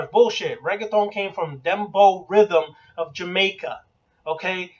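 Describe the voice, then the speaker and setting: A man of Puerto Rican heritage giving a blunt, profane lecture on occult practice, firing rhetorical questions and cursing for emphasis. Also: high-pitched. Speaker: occult teacher. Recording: distant, muffled lo-fi recording.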